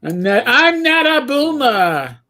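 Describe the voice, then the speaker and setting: A man's voice: extremely high pitch, big pitch swings, slow pace, bright, forceful tone. A middle-aged man chatting casually as a livestream host, telling stories in a rambling way.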